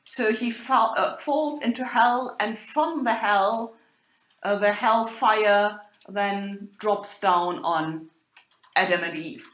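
Slow, halting woman lecturing. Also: medium pitch, a bit bright. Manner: hesitant, many fillers and pauses. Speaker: university lecturer. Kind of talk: recorded lecture over slides. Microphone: recorded voice-over for slides. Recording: roomy echo.

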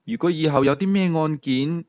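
A man with a Hong Kong Cantonese accent voicing a sharp, teasing, authoritative police team leader in an audio thriller. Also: on the high side, moderate pace, dry recording, dull audio, close to an everyday voice. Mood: probing, teasing.

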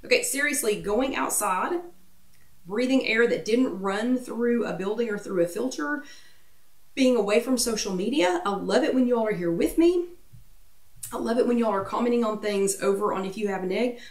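A middle-aged woman hosting a wellness workshop in a chatty, animated way, her voice getting croaky. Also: fairly high pitch, moderate pace, far from the mic. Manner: chatty, animated, sings playful asides. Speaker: workshop host. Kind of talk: casual motivational talk. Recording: roomy echo, speaker a bit off-mic.

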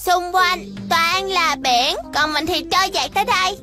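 A woman voicing a bossy, excitable little girl in a dubbed cartoon, speaking insistently. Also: extremely high pitch, unhurried. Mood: excited. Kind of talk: dubbed children's cartoon acting. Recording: music behind the voice.

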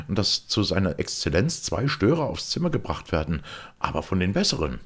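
Guy narrating a classic story, really low husky voice, measured but with lots of rise and fall. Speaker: audiobook reader. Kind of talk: scripted literary narration.

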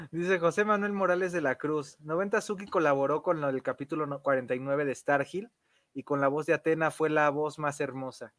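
A man's voice: fairly high pitch, normal speed, fairly bright tone, no room sound, studio quality. A man with a Mexican accent hosting a casual livestream and reading out comments. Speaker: livestream host, interviewer.

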